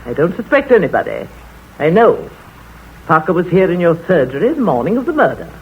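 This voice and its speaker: An actress playing a nosy, know-it-all village spinster who talks brisk and bossy, in a 1940s radio play. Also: female voice, fairly low pitch, big pitch swings, husky, exaggerated voice. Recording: tinny old radio broadcast.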